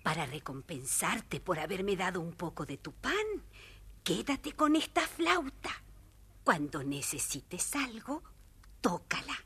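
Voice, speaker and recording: A woman playing a poor, kindly old beggar woman with a breathy, whispery voice in a children's story. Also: on the low side, big pitch swings, slow pace, pushed and bright, full character voice.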